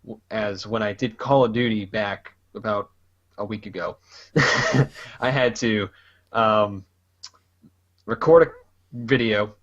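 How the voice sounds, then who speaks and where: A man's voice: on the low side, slow pace, long pauses, fairly bright tone, lo-fi sound. A man casually chatting as a podcast host, rambling loosely and laughing often. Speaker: podcast host and gaming YouTuber. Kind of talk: casual chat.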